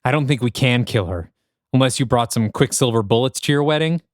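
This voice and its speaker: An actor playing a terse, wry male adventurer in an audio drama, with a deadpan, clipped delivery. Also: medium pitch, fairly quick, no echo, clean studio sound, natural voice.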